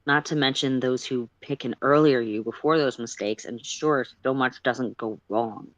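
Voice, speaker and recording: A philosophical guide from a story, voiced by a livestream reader, speaking in a measured, reflective way. The pitch is medium and the sound is dry and close. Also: fairly bright tone, no room sound, lightly acted, rough recording. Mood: thoughtful, gentle.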